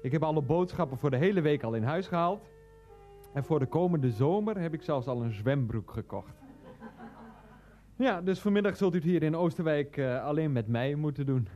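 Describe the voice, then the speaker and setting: An actor playing a hapless, earnest middle-aged husband and son in a radio play, hesitant, explanatory and easily defensive. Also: male voice, a little high, expressive, normal speed, dark tone, dull audio, faint music behind, natural voice. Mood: self-assured. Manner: hesitant, explanatory, petulant with his mother. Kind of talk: radio play acting, monologue and phone dialogue.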